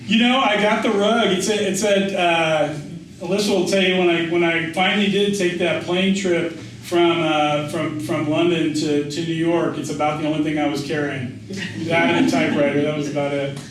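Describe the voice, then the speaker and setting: A man's voice: fairly high pitch, fairly even intonation, normal speed. A middle-aged American man, an author and writing teacher, talking informally in a question-and-answer session, anecdotal and often doubling back on his phrases. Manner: conversational, anecdotal, often restarts and repeats phrases for emphasis. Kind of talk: informal spoken Q&A after a reading. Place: indoor reverberant event room. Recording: echoey room, distant mic, faint noise.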